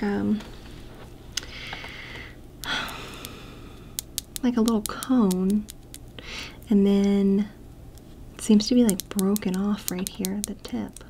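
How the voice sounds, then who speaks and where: A woman whispers and speaks softly in an ASMR roleplay, taking her time over each phrase. Her voice has a gravelly fry. A steady hiss sits behind her. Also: fairly high pitch. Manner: whispered and soft-spoken, slow and gentle. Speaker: ASMR creator. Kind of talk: soft-spoken ASMR roleplay. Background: tapping and clicking sounds.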